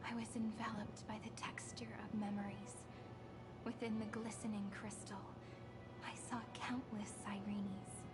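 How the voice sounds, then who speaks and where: A woman playing a gentle, wistful young storyteller in a story game, speaking softly and with measured drama. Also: on the high side, lightly acted. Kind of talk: scripted narration in a game story. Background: loud music.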